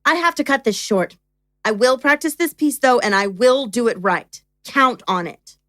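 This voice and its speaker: A woman's voice: fairly high pitch, expressive, normal speed, a bit bright. A woman playing an imperious, short-tempered royal in an audio drama, with a haughty, commanding delivery. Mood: haughty, clipped.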